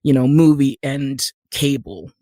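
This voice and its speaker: A man's voice: mid-range voice, a little slow, mellow, dry recording, clean studio sound. A man talking conversationally on a podcast about his craft, opinionated and emphatic.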